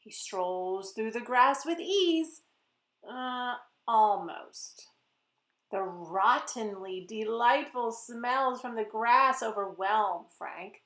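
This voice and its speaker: A woman reading a picture book aloud to children in an expressive way, speaking to her young listeners directly. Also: fairly high pitch, slow pace. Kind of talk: animated children's story read-aloud. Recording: roomy echo.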